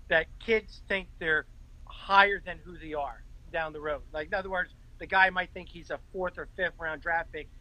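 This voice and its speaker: Man, middle-aged host, high voice, bright and sharp, eager quick questions, live webcam chat. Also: poor audio. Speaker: talk show host. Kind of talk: casual interview.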